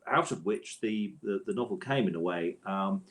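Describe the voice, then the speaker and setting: A man's voice: deep voice, moderate pace. A middle-aged man answering as an interview guest in a thoughtful, hesitant conversational way, often rephrasing as he goes. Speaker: interview guest, author and audio drama scriptwriter.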